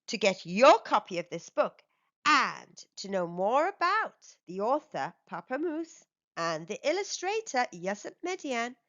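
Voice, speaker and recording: High, animated woman reading a storybook. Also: dull audio. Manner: measured, animated storytelling delivery. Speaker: children's storytime channel host and narrator. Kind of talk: expressive read-aloud storybook narration for young children.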